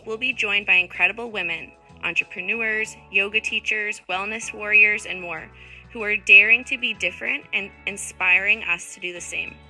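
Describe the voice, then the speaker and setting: A woman hosting a wellness podcast, reading an upbeat scripted intro and guiding slow breathing. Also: medium pitch, poor audio. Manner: upbeat, slows to a calm guiding pace. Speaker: podcast host.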